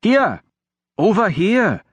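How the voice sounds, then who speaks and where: Man playing a teenage street urchin, fantasy audiobook, raised pitch, excitable, flattering, cheeky, swooping intonation. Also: slow pace, slightly rough, no echo, exaggerated voice. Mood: excited, calling out. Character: eager, cheeky adolescent street boy in fantasy tale. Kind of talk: audiobook character acting.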